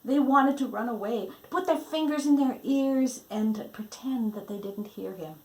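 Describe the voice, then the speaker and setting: A woman reading a children's book aloud in a dramatic, emphatic storytelling manner with pauses. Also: on the high side, darkish tone, rough recording. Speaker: storybook reader.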